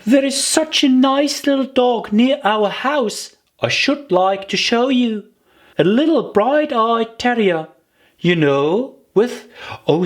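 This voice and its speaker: A woman's voice: mid-range voice, voice pushed up. A woman voicing a polite, curious young girl in a children's audiobook, earnest and chatty in manner.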